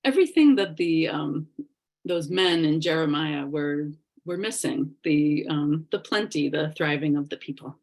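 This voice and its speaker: A woman's voice: low-pitched, animated, unhurried, a little mellow. A woman giving an online class presentation over a video call, thoughtful and hesitant, with many fillers.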